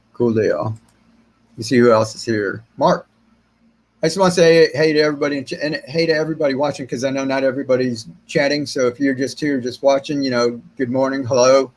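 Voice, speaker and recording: A middle-aged man hosting a gardening livestream Q&A, slightly raspy from long talking, rambling and relaxed as he answers viewer comments. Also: mid-range voice, a little fast. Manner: rambling, relaxed, many restarts and fillers, gestures with hands. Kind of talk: casual live-stream chat, reading and answering viewer comments. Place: small indoor room with shelves of plants.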